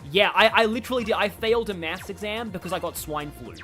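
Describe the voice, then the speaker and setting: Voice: mid-range voice, quick pace, pushed and bright. A game streamer giving casual, rambling livestream commentary, chatting and reacting aloud to the game. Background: game music.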